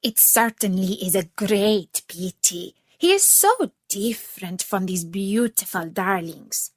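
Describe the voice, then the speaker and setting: A woman's voice: mid-range voice, lively intonation, slow pace, breathy edge, no echo, studio quality. A woman voicing a haughty, bossy old duck who rules a farmyard in a storybook tale, condescending in manner. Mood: pitying, disdainful. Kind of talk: storybook character voice.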